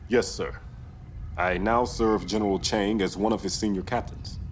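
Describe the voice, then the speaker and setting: A man's voice: fairly low pitch, fairly even intonation, moderate pace, slight background noise, natural voice. A man playing a dutiful young Klingon captain in a video game, speaking formally, firmly and with restraint. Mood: resolute. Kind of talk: video game cutscene acting.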